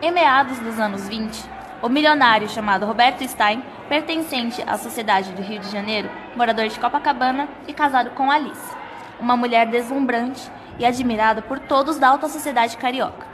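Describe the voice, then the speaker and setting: A woman's voice: on the high side, normal speed, big-room sound, background noise, lightly acted. A woman narrating an amateur radio play from a script, telling a society melodrama.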